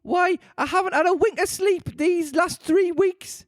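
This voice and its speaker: A reader voicing an indignant female pigeon in a shrill, high falsetto, drawn out and contemptuous. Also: a little slow, no echo, clean studio sound. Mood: complaining. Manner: contemptuous, huffy, stretched-out words.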